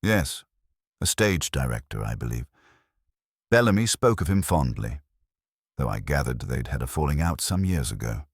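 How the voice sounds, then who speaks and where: A man's voice: extremely low pitch, very animated, normal speed, pauses often, breathy edge, dry recording, studio quality, exaggerated voice. A man voicing a nervous, polite young publishing clerk in an audiobook, hesitant and deferential. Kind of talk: audiobook character dialogue.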